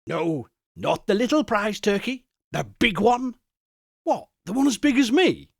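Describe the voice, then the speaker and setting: A young Victorian street boy, acted by a male reader, cheeky and eager. The storybook voice is pitched up and lively with disbelieving wonder. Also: unhurried, gravelly, no room sound, studio quality, full character voice. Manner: incredulous, eager.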